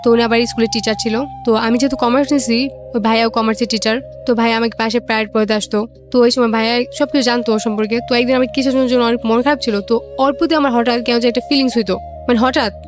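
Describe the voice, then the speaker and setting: A woman's voice: a little high, steady tone, fast. A young woman with a Bangladeshi accent telling her own story casually, in colloquial speech, quoting remembered conversations. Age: young adult. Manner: colloquial, acts out remembered dialogue. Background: music.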